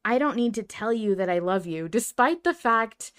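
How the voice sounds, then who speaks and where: A woman's voice: fairly high pitch, animated, moderate pace, fairly bright tone. Emphatic woman co-hosting a podcast. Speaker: podcast co-host. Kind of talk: casual reflective fan discussion.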